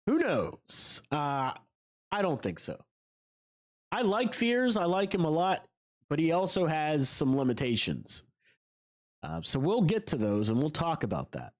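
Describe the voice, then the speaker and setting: A man's voice: on the high side, sing-song, a little slow. A man hosting a solo sports podcast while sick with a cold, sounding nasal and congested, posing rhetorical questions with frequent fillers. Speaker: podcast host.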